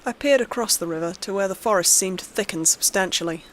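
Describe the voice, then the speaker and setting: A woman's voice: medium pitch, fast, slight background noise. A woman reading a scripted horror story aloud as its narrator.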